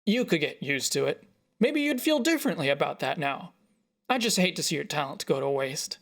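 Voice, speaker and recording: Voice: mid-range voice, sing-song, brisk. A narrator voicing a pushy, dismissive father of a grown daughter in a story reading. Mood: coaxing. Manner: pressing and dismissive. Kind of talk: dialogue in a narrated short story.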